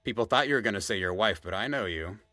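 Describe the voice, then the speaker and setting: A man's voice: fairly low pitch, lively intonation, fast talker, sharp tone, a little hiss or hum. A man playing a probing, teasing podcast interviewer and old friend in a natural audio drama. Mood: teasing, confiding.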